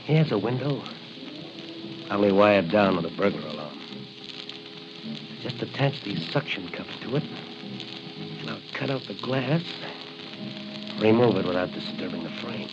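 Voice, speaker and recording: A man's voice: on the low side, lively intonation, unhurried, pauses often. An actor playing a bold, determined masked crime-fighting patrolman, thinking aloud in clipped remarks in 1940s radio style. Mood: wary, intent. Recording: noisy, muffled 1940 radio recording.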